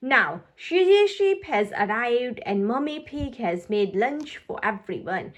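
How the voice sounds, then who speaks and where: A woman reading a children's storybook aloud in an expressive, deliberate way for young listeners. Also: fairly high pitch. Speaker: storybook reader.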